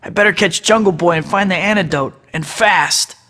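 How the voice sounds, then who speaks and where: A man's voice: sits high, normal speed, sharp tone, big-room sound. An actor voicing a young male superhero who tosses out sarcastic taunts in a video game. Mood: muttering. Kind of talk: game voice clips.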